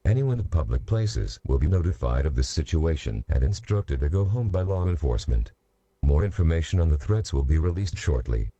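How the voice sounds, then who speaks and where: Very deep, dark-voiced emergency announcer man. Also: very animated, husky, no echo, highs cut off. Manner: measured, formal, instructional. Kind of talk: scripted emergency alert announcement.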